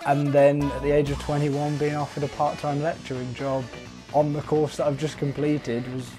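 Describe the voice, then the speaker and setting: A man in his early twenties who works as a part-time lecturer, speaking in a measured, articulate way in a documentary interview. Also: on the high side, flat delivery, over music, rough recording. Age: 20s.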